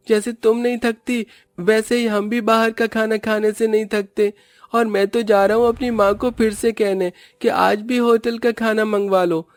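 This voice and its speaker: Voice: mid-range voice, no room sound, natural voice. A voice actor playing a boastful, taunting rich young boy in a cartoon story. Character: boastful rich young boy who loves hotel food.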